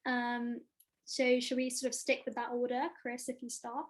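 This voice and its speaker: A woman with a slightly high and very level voice runs a panel on a video call. She leans on fillers as she moves between questions. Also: normal speed. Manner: even, many fillers. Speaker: panel moderator. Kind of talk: moderating a panel discussion.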